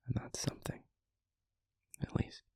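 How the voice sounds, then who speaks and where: A man playing a tender, introspective demon in an intimate audio roleplay, speaking haltingly in a breathy, husky half-whisper. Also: a little mellow, dry recording, studio quality, close to an everyday voice. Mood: wistful, reflective. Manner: slow, halting, reflective delivery. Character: introspective, tender male demon, once arrogant, now gently regretful.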